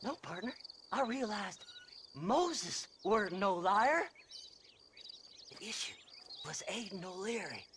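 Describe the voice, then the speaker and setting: Unhinged backwoods grave robber, rural American accent, very high creaky voice, manic muttering, game cutscene. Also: male voice, lively intonation, slow, long pauses, a bit bright, slight background noise, exaggerated voice. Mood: rambling, obsessed. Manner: muttering, stammering, cackling, folksy. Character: crazed, grimy male treasure-hunting grave robber, manic and unhinged. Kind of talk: video game cutscene acting.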